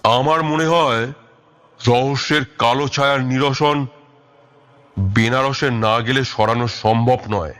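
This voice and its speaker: A man's voice: mid-range voice, a bit bright, natural voice. Man acting a brooding detective over music. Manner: deliberate, dramatic, questioning. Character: brooding, resolute male detective, shrewd leader. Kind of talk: audio story acting.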